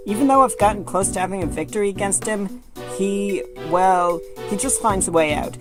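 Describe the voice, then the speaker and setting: Clear music runs behind a mid-pitched female voice. A woman acts an earnest cartoon-animal ally in a courtroom audio drama, determined and encouraging. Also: moderate pace, close to an everyday voice. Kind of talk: amateur audio drama acting.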